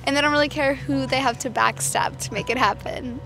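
Wry blunt young woman from Virginia, high voice, clear music behind. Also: steady tone, a little fast, fairly bright tone. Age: young adult. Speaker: student. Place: outdoors on campus in snow.

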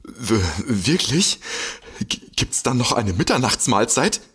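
An actor playing a gullible, excitable man in a comic audio drama, stammering and easily amazed. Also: mid-range voice, takes it slowly, husky, full character voice. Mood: puzzled, curious. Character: gullible, excitable man, a food-loving paranormal enthusiast.

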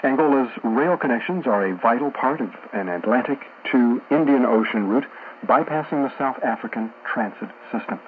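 A muffled shortwave broadcast with clear static carries a male radio journalist. He speaks in a measured, formal manner at a medium pitch, reading informative script as part of a studio discussion. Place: radio studio, heard over a shortwave receiver.

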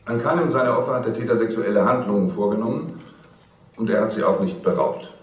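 A dry, deliberate police investigator, acted by a man in a radio crime drama. His lowish voice comes through strong echo, as if from far off. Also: close to an everyday voice. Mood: dry, factual. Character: matter-of-fact police investigator. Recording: very echoey, distant, dull.

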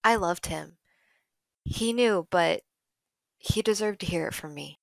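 Woman acting bereaved young loyal female follower, creaky voice, volatile, audio drama, dry close recording. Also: lowish voice, unhurried, no room sound. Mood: grieving, resolved.

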